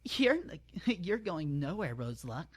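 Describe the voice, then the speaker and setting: A man's voice: a little high, big pitch swings, slightly rough, voice pushed up, full character voice. A man voicing a smug, predatory female monster pony with mocking menace in an amateur dramatic reading. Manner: mocking, gloating, drawn-out menace.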